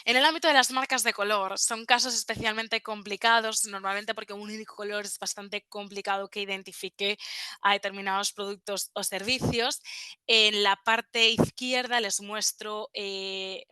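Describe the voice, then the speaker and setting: A woman's voice: mid-range voice, flat delivery, moderate pace, pushed and bright, smooth, dry recording. A woman presenting in a webinar as a trademark office expert, explaining slides step by step with frequent fillers.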